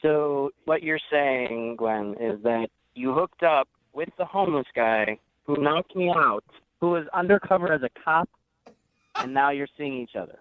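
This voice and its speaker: A man's voice: fairly high pitch, a little slow, clear, resonant tone. A man calling a radio show, confrontational, speaking with indignation and disbelief. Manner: indignant, incredulous. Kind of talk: confrontational phone conversation. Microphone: telephone. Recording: tinny phone line, faint noise.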